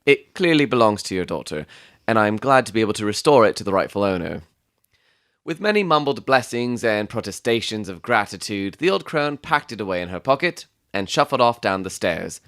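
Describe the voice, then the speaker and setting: A man playing an observant, composed Victorian gentleman who narrates a detective story in a measured, literary way. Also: on the low side, big pitch swings, no echo, clean studio sound. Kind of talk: dramatic audiobook narration.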